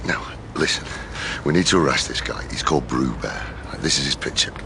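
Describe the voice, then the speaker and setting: A gruff detective with a very deep voice, talking fast in blunt, impatient orders. It is radio drama, with faint noise behind him. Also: male voice. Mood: instructing. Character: gruff, impatient male police detective, bossy but careful.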